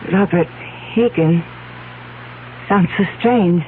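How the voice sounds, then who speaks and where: An actress playing a devoted but dismayed wife in a 1970s radio drama, speaking with tender, dramatic delivery. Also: female voice, on the low side, takes it slowly. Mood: shaken. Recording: tinny old radio broadcast, faint hum.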